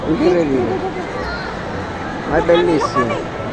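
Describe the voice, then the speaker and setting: A man with an Italian accent giving an excitable walking tour of a big shopping mall, mixing Italian exclamations into broken English, recorded on a handheld camera. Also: high voice, moderate pace, vocal fry, a loud crowd, heavy background noise. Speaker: family vlogger. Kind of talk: casual walking tour commentary. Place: large indoor shopping mall. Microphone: handheld action camera. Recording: loud background music over the voice.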